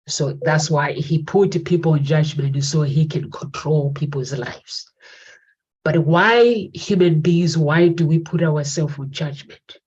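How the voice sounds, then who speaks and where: Woman, forties, low voice, slow unhurried delivery, earnest motivational talk, dull lo-fi sound. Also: darkish tone, husky. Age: 40s. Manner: earnest, reflective, repeats key phrases. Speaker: talk show host. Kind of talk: motivational monologue to viewers. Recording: dull lo-fi recording.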